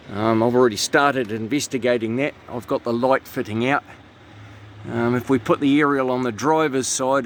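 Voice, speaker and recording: Man doing repair commentary over background noise. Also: medium pitch. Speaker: truck radio technician. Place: inside a truck cab and on its roof. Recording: steady background noise, vehicle ambience.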